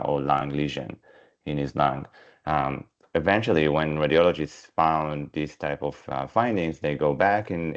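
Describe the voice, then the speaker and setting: A man with an Israeli accent, a startup founder pitching his company over a video call, halting with many fillers and restarts. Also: clear, resonant tone. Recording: dull lo-fi video call audio.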